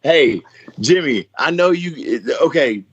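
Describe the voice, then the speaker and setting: A man's voice: on the high side, expressive, takes it slowly, husky, no echo. A man in his forties with a Southern US accent, hosting a casual wrestling-veteran chat in a folksy, profane way. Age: 40s. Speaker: podcast co-host. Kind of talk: casual chat between old wrestling colleagues. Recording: muffled, band-limited podcast call audio.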